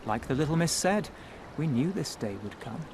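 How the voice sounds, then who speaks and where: An actor playing a dutiful, wry first mate to a pirate captain in a video game, speaking in measured, formal phrases. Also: male voice, a little high, animated, noisy background. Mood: measured, counselling. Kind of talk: video game cutscene dialogue.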